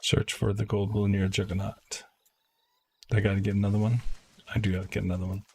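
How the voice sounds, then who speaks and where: A man's voice: fairly low pitch, flat delivery, a little fast, a little mellow, a little hiss or hum. A man giving casual gameplay commentary as a streamer, thinking aloud in an informal way. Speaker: game streamer.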